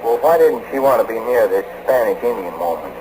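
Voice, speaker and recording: An actor playing a folksy, wisecracking, good-hearted adventurer with a drawling American Southern accent in a 1940s radio serial. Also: male voice, medium pitch, animated, brisk, natural voice. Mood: wry. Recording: noisy old radio serial recording.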